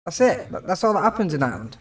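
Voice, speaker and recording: A man's voice: sits high, big pitch swings, rapid-fire. A man with a British accent bantering on a stream, joking and playing mock-offended. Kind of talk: casual banter. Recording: voice distant from the mic.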